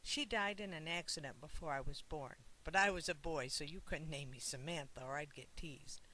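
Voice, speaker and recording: Young storybook boy, played by an adult reader: eager, earnest, bright voice, faint hiss. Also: mid-range voice, moderate pace, natural voice, low-quality recording.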